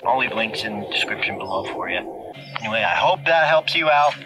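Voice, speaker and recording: A man's voice: on the low side, fairly quick, over music, low-quality recording. Plainspoken man reviewing camping gear outdoors. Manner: relaxed, plainspoken, jokey. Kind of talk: casual gear review to camera. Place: outdoors in open scrubland.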